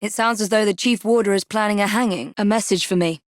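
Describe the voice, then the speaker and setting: An actress with an English accent playing a poised, determined young Victorian assassin in a video game, speaking in crisp, formal phrases with dry wit. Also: female voice, medium pitch, fast, no echo, lightly acted. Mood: composed, businesslike. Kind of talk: dramatic video game voice acting.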